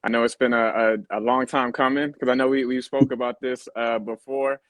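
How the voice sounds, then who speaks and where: A man in his thirties, a guest in a casual interview, speaking haltingly with many fillers and restarts. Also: medium pitch, steady tone, fairly bright tone, dry recording. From born in Germany on a US military base. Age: 30s.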